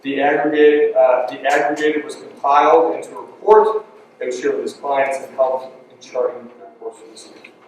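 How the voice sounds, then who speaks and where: A man's voice: medium pitch, steady tone, slight background noise. A man speaking at a live event, rambling and restarting often as he introduces the next speaker. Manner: rambling, halting with many restarts and fillers. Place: large event room with an audience. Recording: echoey hall, mic from a distance.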